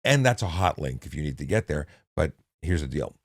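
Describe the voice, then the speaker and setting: A man reading instructional material in a measured, deliberate way, with casual off-the-cuff asides. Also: rumbling low, very animated, no room sound, studio quality. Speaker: narrator reading a handbook with commentary.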